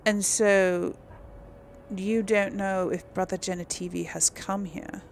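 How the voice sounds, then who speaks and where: A woman's voice: medium pitch, fairly even intonation, slow, slight background noise. A woman giving casual game commentary, musing aloud as she plays and role-plays dialogue. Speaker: gamer, series host.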